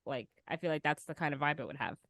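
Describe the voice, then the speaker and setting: A woman's voice: sits low, fast, a bit bright, no room sound, clean studio sound. A woman hosting a podcast, chatting casually about pop culture. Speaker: podcast host.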